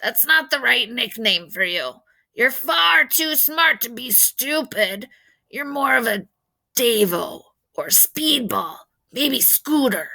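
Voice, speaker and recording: A woman acts out a small, wise old grandfather from a storybook. He speaks slowly and brightly, with a playful, folksy wryness and a kindly way of handing out advice. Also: a little high, animated, heavily acted, rough recording. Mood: teasing, firm. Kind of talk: storybook read-aloud character voice.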